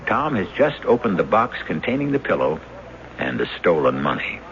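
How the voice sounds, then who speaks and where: A man hosting and narrating a 1970s radio mystery in a measured, dramatic, ominous manner. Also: sits low, big pitch swings, noisy background. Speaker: host and narrator. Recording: dull 1970s radio recording. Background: loud music.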